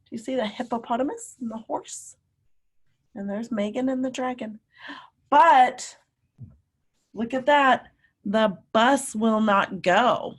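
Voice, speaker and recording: A woman teacher reading a picture book aloud to young children, animated and emphatic, often putting questions to her listeners. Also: on the high side. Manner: animated, emphatic, drawn-out words, coaxing young children along.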